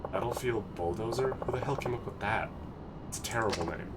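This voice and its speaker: A man's voice: on the low side, a little fast, noisy background, lightly acted. A man with a cold reading the lines of a wry, sarcastic young male character in a dry tone. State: stuffy from a cold.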